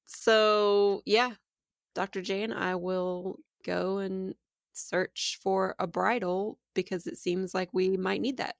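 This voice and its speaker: A woman's voice: medium pitch, flat delivery, slow, vocal fry, dry recording. Woman chatting casually, dry close sound. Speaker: player in a tabletop game podcast. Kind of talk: casual chat.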